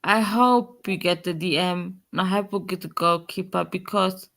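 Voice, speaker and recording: A woman's voice: lowish voice, fairly even intonation, normal speed, a bit bright. A woman with a German accent in a casual football fan chat, blunt and emphatic, swearing freely. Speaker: fan channel co-host.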